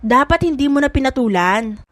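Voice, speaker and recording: A cheerful, frank young woman from an audiobook romance, acted by a female narrator. She is expressive and caring, with a high, dry-recorded voice. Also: fairly quick, dry recording. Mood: chiding, caring. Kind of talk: audiobook drama.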